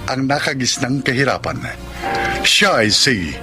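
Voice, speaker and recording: A man's voice: medium pitch, lively intonation, unhurried, over music. A man narrating a scripted introduction to a Filipino radio drama.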